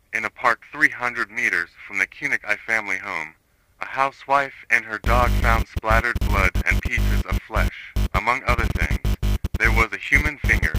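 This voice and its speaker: A man playing a grim retired investigator, reciting a horror case report in a flat, formal manner. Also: on the low side, noisy background. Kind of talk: scripted narration, analog horror case report.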